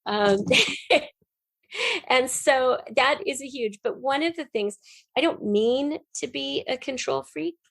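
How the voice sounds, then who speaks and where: A woman's voice: high-pitched, animated, takes it slowly, creaky, no room sound. A woman talking conversationally as an interview guest, telling stories in a rambling, colloquial way. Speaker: interview guest, audio drama writer.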